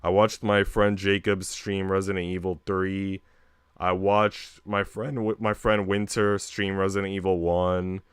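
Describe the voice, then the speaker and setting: A man with a fairly low, resonant voice chats on his livestream in a very dry recording. He rambles casually, leaning on slang and swearing freely as he goes. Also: flat delivery, measured pace, smooth, dry recording. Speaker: streamer reading a visual novel aloud.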